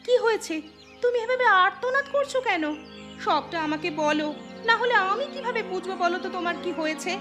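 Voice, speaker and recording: High-pitched fretful, scolding village wife. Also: female voice, lively intonation, a little fast, bright, forceful tone, full character voice. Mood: worried. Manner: scolding, questioning. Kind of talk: animated story dialogue acting. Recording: music under the voice.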